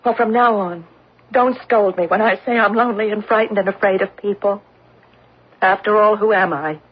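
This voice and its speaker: A woman playing a lonely, fearful, persistently pleading wife in a radio drama, with a husky voice. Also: medium pitch, moderate pace, natural voice. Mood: bitter, wounded. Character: lonely, fearful, wounded wife of an overbearing businessman. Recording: dull lo-fi radio broadcast recording.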